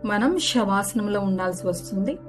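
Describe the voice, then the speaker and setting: A woman gently guides a relaxation meditation, giving unhurried step-by-step instructions. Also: medium pitch, animated. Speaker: yoga nidra guide. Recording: music behind the voice. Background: background music.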